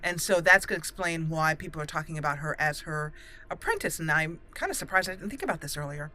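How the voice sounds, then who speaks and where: A woman's voice: sits low, animated, fast talker, pushed and bright. A woman giving casual roleplay commentary as the host of a let's play.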